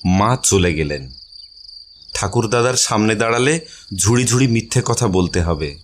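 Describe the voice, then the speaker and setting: A man's voice: on the low side, lively intonation, normal speed, a little hiss or hum. A man reading a literary story aloud as its narrator, in a scripted narration style.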